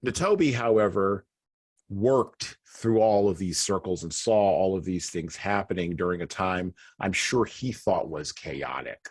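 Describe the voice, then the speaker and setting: Male podcast host, deep and breathy, reflective talker. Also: lively intonation, a little slow, no room sound. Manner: reflective, laughs between points. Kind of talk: conversational podcast discussion.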